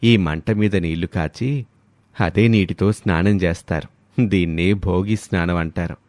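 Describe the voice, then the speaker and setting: A man playing a kindly, measured middle-aged village father in a moral story. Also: deep voice, fairly even intonation, darkish tone, no echo. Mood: gently instructive.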